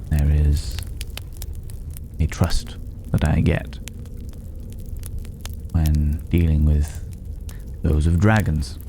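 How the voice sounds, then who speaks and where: An extremely deep male voice , put on by a man, plays a cultured, reclusive stranger in a fantasy ASMR roleplay. He speaks slowly, with thoughtful pauses and soft drops toward a whisper. Clear background noise sits behind the voice. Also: full character voice. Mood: guarded, aloof. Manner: measured, pausing, philosophical, lapsing into breathy whispers. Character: mysterious, cultured, solitary male stranger living among dragon's hoard.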